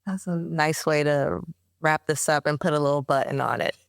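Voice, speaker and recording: A woman host interviews her guest in a measured, appreciative way and gives sincere praise. Her voice is unusually low and clear. The sound is dry and close to the mic. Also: fairly bright tone, no echo. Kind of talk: interview hosting.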